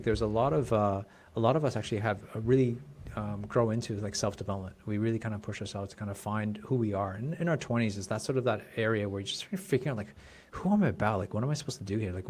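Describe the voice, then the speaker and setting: A Canadian man in his forties, a guest on a podcast, telling his story in casual chat with many restarts and quick laughs. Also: fairly low pitch, a little mellow, slight background noise. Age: 40s. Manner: fast, rambling, restarts and laughs. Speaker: podcast guest, chiropractic coach.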